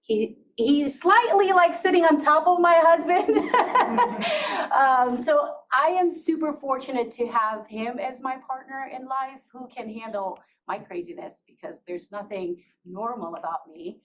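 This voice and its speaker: A middle-aged woman from Azerbaijan, whose first language is Georgian, speaks frankly to an audience in a presentation room. She laughs often and breaks off to restart her sentences. Her fairly high voice sounds far from the mic, with a faint hiss underneath. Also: a little slow, a bit bright. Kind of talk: personal talk to an audience.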